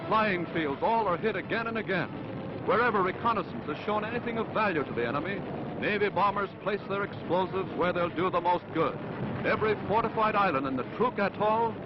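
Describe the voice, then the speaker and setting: A man's voice: sits high, animated, fairly quick. An American man delivering scripted 1940s newsreel narration in a dramatic, emphatic, declamatory manner. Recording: muffled, very noisy 1940s newsreel soundtrack.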